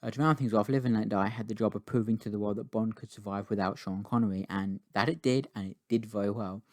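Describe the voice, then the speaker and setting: A man's voice: lowish voice, fairly even intonation, quick pace, a little mellow, no room sound, clean studio sound. A man giving a casual spoken film review, rambling and chatty, with many restarts and casual swearing.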